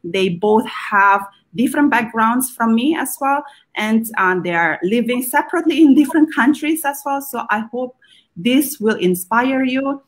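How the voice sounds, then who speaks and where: A woman hosting a live video talk, speaking casually with many pauses and fillers. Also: a little high, animated. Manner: hesitant, many ums and uhs. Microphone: video call.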